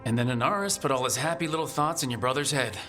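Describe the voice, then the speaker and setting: A man acting a steady, wry, supportive adventurer hero in a video game, talking conversationally. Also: medium pitch, fast, soft background music, lightly acted. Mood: earnest, supportive.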